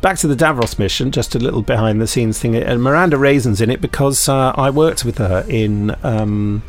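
A man's voice: mid-range voice, moderate pace, soft background music. A British man hosting a podcast, chatting casually with rambling, self-mocking, dryly jokey anecdotes. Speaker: podcast host and audio drama maker.

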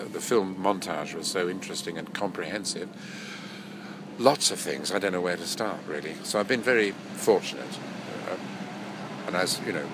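Older male actor, very low voice, nasal from a cold, rambling anecdotes, noisy recording. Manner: rambling, self-correcting, chuckling. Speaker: actor and voice-over artist. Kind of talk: relaxed interview reminiscing.

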